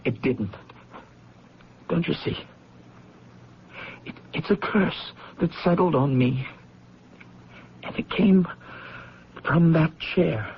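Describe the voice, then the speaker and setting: An actor playing an anguished, guilt-ridden younger brother who stammers and pleads, in radio drama style. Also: male voice, on the high side, lively intonation, takes it slowly, long pauses, breathy edge, exaggerated voice. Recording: dull lo-fi old radio recording.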